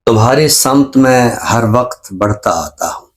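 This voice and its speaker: A middle-aged Pakistani man, a professor and poet, speaking in a measured, professorial way and repeating phrases for emphasis on a literary show. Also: mid-range voice, expressive. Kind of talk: reflective literary talk and poetry recitation.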